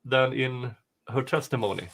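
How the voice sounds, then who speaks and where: A man's voice: mid-range voice, fairly even intonation, normal speed, a bit bright. A man co-hosting a talk show, reading written passages aloud and chatting casually about them. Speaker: podcast co-host reading a document aloud.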